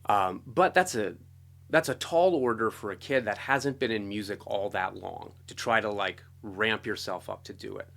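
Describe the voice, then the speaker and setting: A middle-aged American man telling his story casually as an interview guest, talkative and animated. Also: on the low side, moderate pace, gravelly. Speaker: interview guest, composer and guitarist.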